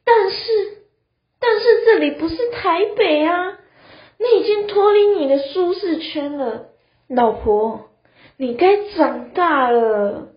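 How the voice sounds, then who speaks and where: A woman's voice: extremely high pitch, animated, takes it slowly, full character voice. A teenage girl playing a whiny, coddled young husband, pleading melodramatically in an amateur radio drama. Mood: placating, tearful. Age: teens. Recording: muffled, roomy lo-fi recording.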